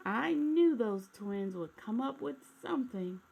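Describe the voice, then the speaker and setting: Female children's storyteller: unhurried delivery, creaky edge, expressive read-aloud that speaks straight to young listeners. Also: fairly high pitch, poor audio. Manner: expressive, addresses children directly with questions.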